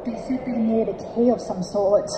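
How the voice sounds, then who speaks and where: A frightened, bossy young girl played by a woman in an audio drama. The voice is fairly high, in a long echoey reverb, with noise behind it. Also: fairly even intonation, moderate pace, close to an everyday voice.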